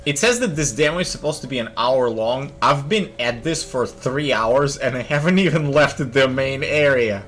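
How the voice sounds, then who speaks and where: A man's voice: medium pitch, animated, moderate pace, hardly any pauses, a bit bright. A young man casually commenting on a video game as he plays, thinking aloud and joking. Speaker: streamer. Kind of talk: gaming commentary. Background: faint game music.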